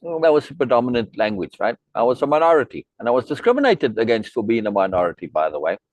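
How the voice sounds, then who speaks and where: A middle-aged man from South Africa speaks on a video call, his voice sitting at an ordinary middle pitch. He rambles in a friendly way with many asides and restarts. The sound is dry and close, with no room around it. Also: very animated, fast, no echo. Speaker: host. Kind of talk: casual chat on a video meeting.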